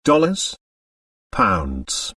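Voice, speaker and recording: A man voicing a sardonic, carefree gentleman in an audiobook novel, with an easy mocking, ironically polite manner. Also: fairly low pitch, huge pitch swings, extremely slow, slightly rough, dry recording, studio quality, recorded from a distance, heavily acted. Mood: sharp. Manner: ironically polite, light and amused.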